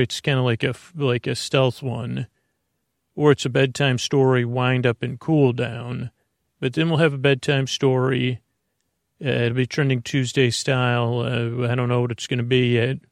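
Man, sleep podcast host, rambling and digressive, gravelly tone, mid pitch. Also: no echo, clean studio sound. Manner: meandering, self-interrupting, stream of consciousness. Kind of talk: rambling bedtime podcast intro.

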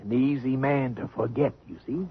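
An actor playing a genteel, gently teasing old man who lodges with a family, full of dry quips and courtly phrasing. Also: mid-range voice, moderate pace, breathy edge. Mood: wry. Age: older. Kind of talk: 1950s radio drama acting. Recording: muffled old radio broadcast.

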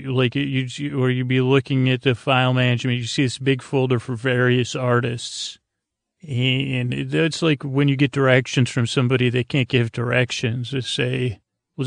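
American man, creaky gravelly voice, mid-range pitch, meandering halting delivery, bedtime podcast host, dry sound. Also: dry recording. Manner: meandering, halting, trailing off and circling back. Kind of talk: rambling bedtime monologue.

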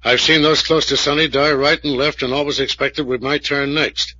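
An actor playing an authoritative, careful police captain in old-time radio drama, firm and official. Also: male voice, medium pitch, steady tone, moderate pace, bright, forceful tone. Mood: reading aloud gravely. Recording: muffled vintage radio recording.

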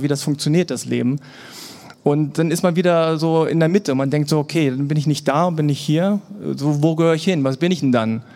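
A man from Germany speaking in a fairly high register at a live panel event. He talks in a loose, easygoing way, slipping into little voices when he quotes other people. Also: darkish tone. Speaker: podcast guest. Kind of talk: casual panel conversation.

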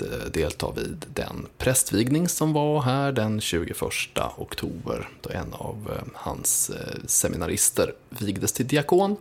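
Gravelly low-voiced podcast host. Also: male voice. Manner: reads a prepared introduction with occasional ehs. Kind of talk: scripted podcast introduction.

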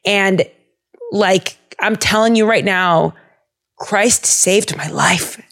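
A young woman hosting a podcast, chatting in a bubbly, animated way and laughing often. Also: medium pitch, slow, vocal fry. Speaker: podcast host. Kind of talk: casual chat about the Bible.

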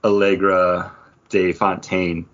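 A man hosting a podcast in a casual chat, rambling and halting, with "like" dropped in often. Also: deep voice, flat delivery, dull audio. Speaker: podcast host.

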